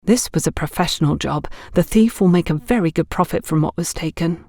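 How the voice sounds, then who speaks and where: A woman's voice: deep voice, fairly quick, warm tone, no room sound, studio quality. A woman voicing a worried, aggrieved shop girl in an audiobook, speaking mournfully and with emphasis. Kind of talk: audiobook character dialogue.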